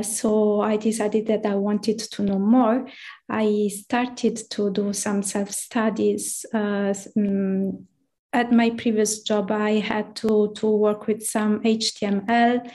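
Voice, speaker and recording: A woman with an Italian accent presents at a tech meetup in a slow, unhurried delivery. She pauses often and restarts her sentences. Her voice has a soft, mellow tone. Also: medium pitch. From Italy. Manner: hesitant, many fillers and restarts. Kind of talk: presentation talk.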